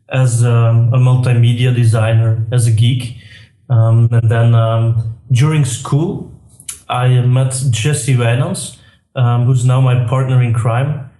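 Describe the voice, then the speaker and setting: A man in his thirties with a Belgian accent answering interview questions, hesitant with many pauses. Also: mid-range voice, dark tone. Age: 30s. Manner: hesitant, many "um"s, laughs lightly. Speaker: guest, tech co-founder. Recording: echoey room.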